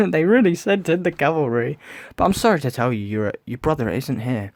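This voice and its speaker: A man's voice: fairly high pitch, expressive, normal speed, a little mellow, dry recording, studio quality. A man playing a menacing, grandiose villain in an audio drama, gloating and taunting with theatrical cruelty. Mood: gleeful threat. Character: menacing theatrical male villain lurking in the shadows, gloating sadist.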